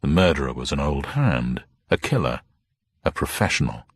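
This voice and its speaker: A man with a very low, husky voice reads a detective story aloud. His delivery is animated and dramatic, swinging widely in pitch, in a dry, close sound. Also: moderate pace, dry recording. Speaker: narrator and reader of a detective story. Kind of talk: dramatic scripted story narration.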